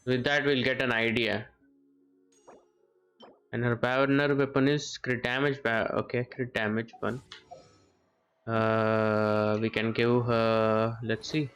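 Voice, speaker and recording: A man's voice: medium pitch, flat delivery, slow, long pauses, a bit bright. A man giving casual live game commentary, thinking aloud and often repeating his phrases. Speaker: game streamer. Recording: game music behind the voice. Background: video game music.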